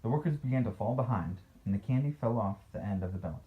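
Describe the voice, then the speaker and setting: A man's voice: fairly low pitch, animated, fast talker, narrow, tinny audio. A man reading a picture book aloud as a father to a child, playful, with casual asides and questions.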